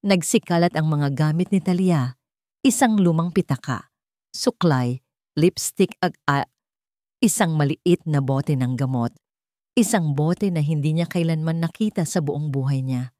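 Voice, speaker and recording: A woman's voice: sits low, measured pace, warm tone, no echo, studio quality. A woman reading scripted dramatic fiction as a story narrator.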